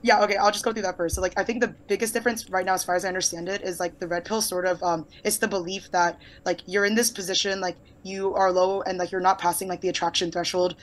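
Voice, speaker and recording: Young woman host in her twenties; fast, loose, filler-heavy chat with casual swearing. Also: fairly low pitch, steady tone. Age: 20s. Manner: rapid and rambling, many fillers and casual swearing. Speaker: podcast host. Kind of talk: casual conversation.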